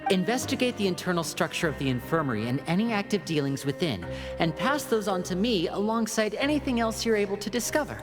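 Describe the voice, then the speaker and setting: Woman doing a calm clever young magician guy from a game, lively intonation, music under it. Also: mid-range voice, quick pace, hardly any pauses, natural voice. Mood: earnest, instructing. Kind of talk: video game voice acting.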